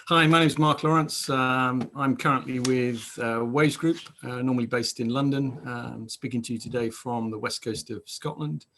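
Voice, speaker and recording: A man introducing himself on a video call in a relaxed way, with many fillers. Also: medium pitch, a little slow, a little hiss or hum. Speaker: panelist. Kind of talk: self-introduction on a webinar.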